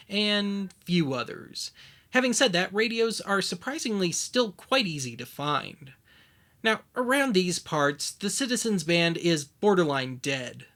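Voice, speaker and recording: A man narrating a scripted documentary as its host, with wry, deadpan humour. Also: a little high.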